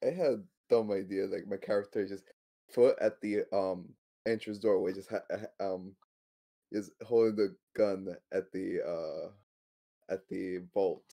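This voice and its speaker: A man chats as a player in a tabletop game. His voice is low with heavy vocal fry. He speaks casually and hesitantly, sometimes swearing. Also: fairly even intonation, a little slow, warm tone. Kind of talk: casual chat.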